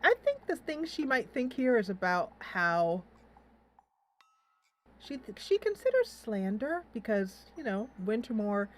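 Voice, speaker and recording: A woman's voice: fairly high pitch, big pitch swings, measured pace, poor audio. A woman hosting a casual let's play, thinking aloud in a playful, giggly way as she comments on the game. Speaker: host of a gaming channel.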